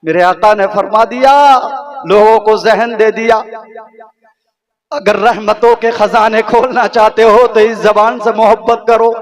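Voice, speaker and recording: A man preaching a sermon with rhetorical repetition, his voice rising to shouts. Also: high voice, flat delivery, a little slow. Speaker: Islamic preacher. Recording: live gathering with crowd behind. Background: crowd.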